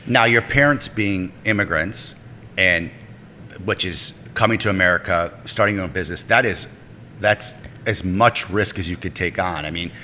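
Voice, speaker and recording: This man hosts a podcast with a forceful, bright-edged voice sitting low in pitch. He asks long, rambling questions full of fillers and restarts. His voice carries a long reverb with faint music underneath. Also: moderate pace, slight background noise. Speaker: podcast host. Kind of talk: casual interview hosting.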